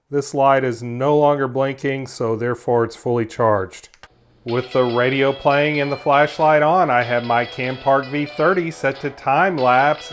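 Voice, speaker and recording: A man narrating a product review as its host, explaining things in a measured, methodical way. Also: mid-range voice.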